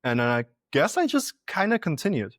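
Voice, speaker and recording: A man's voice: fairly high pitch, a little fast, no echo, studio quality. A man in his twenties from Latvia, a native Russian speaker, chatting loosely as a podcast guest and often restarting his words. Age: 20s. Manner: relaxed, repeats and restarts words, laughs easily. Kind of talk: casual interview chat.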